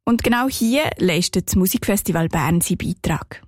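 A woman's voice: fairly low pitch, moderate pace, dry recording, clean studio sound. Swiss German woman reporting on radio. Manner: calm, clear storytelling delivery. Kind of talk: scripted radio report narration.

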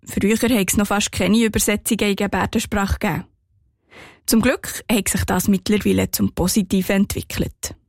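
A woman with a Swiss German accent narrating a scripted radio report in a calm, clear storytelling manner. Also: mid-range voice, normal speed, dry recording, clean studio sound.

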